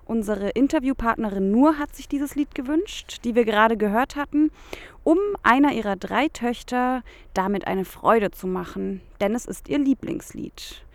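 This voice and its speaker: A woman presenting a local radio show, reading her announcements clearly from a script. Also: a little high, normal speed, a little mellow, no echo.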